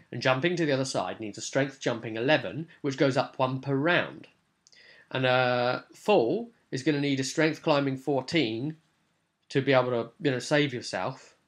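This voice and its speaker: A man's voice: mid-range voice, fairly bright tone. A man speaking casually as a game master, recapping a tabletop role-playing session in a rambling, enthusiastic explaining way.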